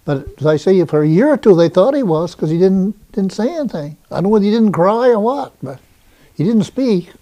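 A man's voice: sits high, expressive, a little fast, mellow. An older man telling a rambling, plain oral history recollection in an interview at home. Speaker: interviewee recalling local memories.

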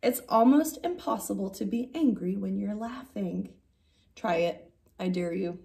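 A woman in her thirties reading a picture book aloud like a teacher, with expressive, drawn-out emphasis on key words. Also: medium pitch, gravelly. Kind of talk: animated children's story read-aloud. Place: indoor classroom.

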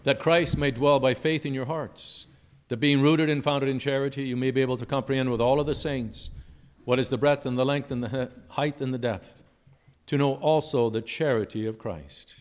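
A priest reading the gospel and delivering a measured, solemn sermon in a church, with rhetorical questions. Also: male voice, medium pitch, steady tone. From United States.

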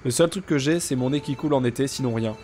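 A man's voice: mid-range voice, fast, a little hiss or hum. Congested young man rambling on stream. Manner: rambling, deadpan joking, chatting with viewers. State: congested, sick with sinusitis. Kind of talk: casual live-stream chat while gaming.

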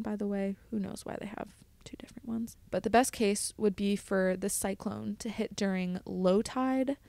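A young woman tells stories as if on a casual podcast, chatting and explaining as she goes, often slipping in little asides. Her medium-pitched voice sits in a dry, echo-free recording. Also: dark tone. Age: young adult. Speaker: podcast host, college student studying at sea. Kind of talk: casual solo podcast storytelling.

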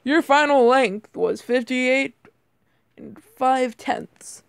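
A woman's voice: sits high, very slow, vocal fry, smooth, no room sound. A woman chatting through a mobile game in a casual, flustered way, her voice cracking, saying "bro" and "like" constantly. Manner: self-deprecating, easily flustered. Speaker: gamer. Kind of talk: casual mobile gameplay commentary.

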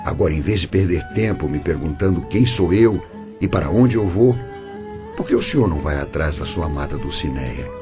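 A man's voice: deep voice, lively intonation, normal speed, music in the background. A man with a Brazilian accent playing a mysterious, wry, impatient angel guide in a radio play, with theatrical formal speech. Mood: wry, composed.

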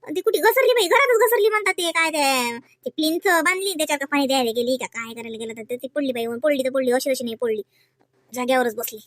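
A woman's voice: sits high, fairly bright tone, dry recording. A woman voicing chatty, homely village women in a family cartoon, with a rural Varhadi Marathi accent and a gossipy, colloquial manner. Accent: Varhadi Marathi, rural Vidarbha. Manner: gossipy, colloquial, drawn-out kinship exclamations.

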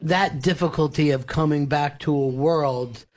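A man's voice: fairly high pitch, a little slow, a little mellow. A man hosting a casual interview, asking questions and adding comments in a conversational way. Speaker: radio show host.

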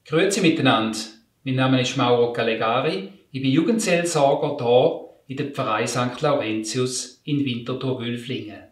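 A man, a youth pastor, giving a calm, deliberate Christmas message and reading scripture. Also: mid-range voice. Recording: echoey room, mic at a distance.

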